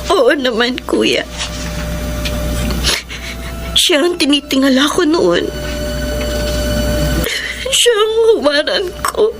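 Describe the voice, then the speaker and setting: An ambitious young Filipina student in a radio drama, her voice extremely high and unhurried. She speaks with raw, intense emotion as a younger sister, with loud music underneath. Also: sing-song, heavily acted. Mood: hurt, tearful. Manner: tearful, pleading, emotionally intense. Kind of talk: Tagalog radio drama acting.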